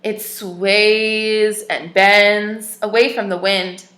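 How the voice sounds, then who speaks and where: A woman teacher reading a picture book aloud to young children, expressive and stopping to ask them questions. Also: medium pitch, drawn-out words, roomy sound.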